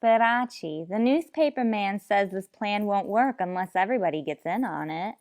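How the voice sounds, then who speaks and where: A woman's voice: medium pitch, animated, normal speed, darkish tone, natural voice. A woman playing a sensible, dry-witted tavern woman in an old-time radio comedy. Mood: reasonable, wry. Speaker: actor in a comedy radio play.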